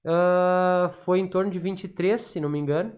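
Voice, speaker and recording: Southern Brazilian man, halting explainer. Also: high voice, steady tone, darkish tone. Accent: southern Brazilian, Rio Grande do Sul. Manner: halting, repeats words while recalling. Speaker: podcast guest. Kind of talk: informal explanation in a podcast interview.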